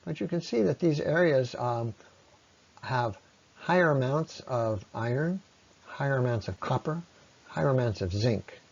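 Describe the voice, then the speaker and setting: A man giving an explanatory slide presentation as a lecturer, often pausing mid-sentence as he explains. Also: medium pitch, expressive, vocal fry, muffled recording.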